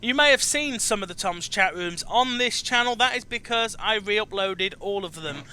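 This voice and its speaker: Male chat host, very bright and forceful, high-pitched, halting but emphatic. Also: expressive, clear, resonant tone. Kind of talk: online interview.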